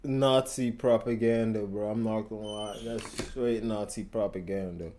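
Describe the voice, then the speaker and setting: A man's voice: lowish voice, fairly even intonation, measured pace, noisy background. A man streaming and reacting to clips, talking in blunt, slangy casual chat. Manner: slangy, laid-back, blunt.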